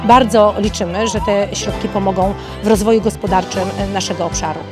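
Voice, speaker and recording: A middle-aged woman speaks into a handheld mic at an outdoor event, pausing often with filler sounds. Her pitch is ordinary for a woman. Music plays clearly behind her. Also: steady tone. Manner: halting, many filler sounds. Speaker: local development group representative. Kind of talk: outdoor interview statement. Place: outdoor festival. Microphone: handheld reporter mic.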